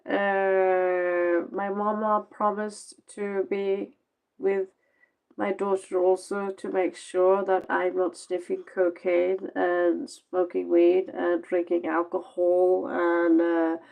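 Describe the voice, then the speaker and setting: A tired woman with a Norwegian accent, talking slowly through a late-night tarot reading. Her voice is gravelly with plenty of fry. She rambles bluntly and sarcastically in her second language. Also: on the low side, completely flat delivery, a little mellow, low-quality recording. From Norway. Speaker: tarot reader.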